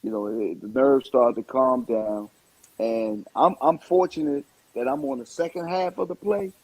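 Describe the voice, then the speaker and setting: A man chatting casually in an interview, rambling in an animated way and repeating words for emphasis. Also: medium pitch, moderate pace, low-quality recording. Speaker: stand-up comedian and stage play actor.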